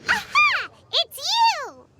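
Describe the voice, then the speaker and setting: A woman voicing a small, excitable, childlike fairy companion in a video game, eager and chirpy. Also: very slow, very noisy, heavily acted. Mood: excited. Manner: eager, squeaky exclaiming. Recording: loud music under the voice.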